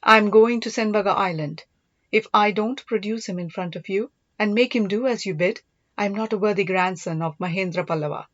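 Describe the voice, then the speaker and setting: A woman's voice: medium pitch, fairly quick, dull audio, close to an everyday voice. A woman voicing a devoted, earnest young prince and older brother in an audiobook, reassuring and teasing. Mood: teasing, resolute.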